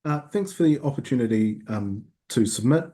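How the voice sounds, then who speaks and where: A man who is a senior law lecturer, giving a measured, formal submission over a video call with frequent ums. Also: mid-range voice, animated, dark tone.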